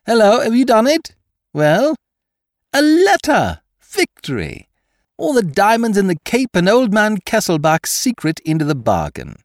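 Man acting a gentleman thief, mocking, commanding, theatrical, raised animated pitch, dry close sound, audiobook character. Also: dry recording. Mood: triumphant. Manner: theatrical, taunting, rapid questioning.

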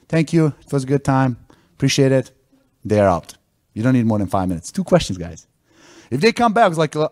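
Man, business talk, animated, mid pitch. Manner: non-native phrasing, animated and enthusiastic. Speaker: business owner giving a talk. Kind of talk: informal business presentation.

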